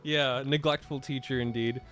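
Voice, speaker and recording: A man's voice: medium pitch, fairly even intonation, moderate pace, fairly bright tone, faint music behind. A man reading a visual novel aloud and chatting casually as he plays. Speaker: player and narrator of a game playthrough.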